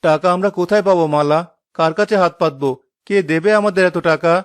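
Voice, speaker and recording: A man's voice: on the high side, quick pace, noisy background, close to an everyday voice. A man voicing a poor, determined fisherman husband in a cartoon, speaking in an earnest, dramatic way. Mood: weary, dejected.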